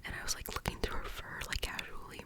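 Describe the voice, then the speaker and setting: A breathy, unvoiced whisper telling a story for ASMR, close and hushed the whole way through. The speaker rambles, fills gaps with "like," and gets tongue-tied, restarting phrases again and again. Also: fast talker. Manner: rambling, heavy use of "like". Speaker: ASMR creator.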